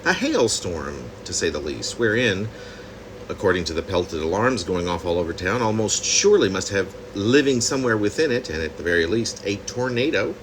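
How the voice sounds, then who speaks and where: A man reading his own poem reflectively, in deliberate, halting phrases with pauses. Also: fairly low pitch, noisy background. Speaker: poet reading own work.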